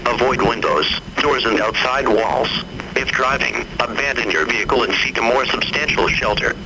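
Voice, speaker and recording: A man's voice: medium pitch, normal speed, natural voice. A man's voice as an impersonal weather alert announcer, reading a formal scripted bulletin. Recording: very noisy radio-like alert broadcast.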